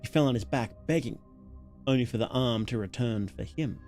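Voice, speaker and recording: A man narrating a horror story as a livestreamer, dramatic and solemn, in deliberate, weighted phrases. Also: mid-range voice, a little mellow, soft background music.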